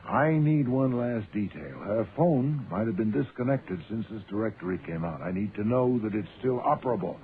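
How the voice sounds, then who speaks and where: A man's voice: on the low side, expressive, normal speed, few pauses, natural voice. Man acting imperious, pompous detective, tinny old radio sound. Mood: commanding. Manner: pompous, precise, formal diction. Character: imperious, proud, sedentary genius detective, large man. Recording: muffled, noisy phone-like old radio sound.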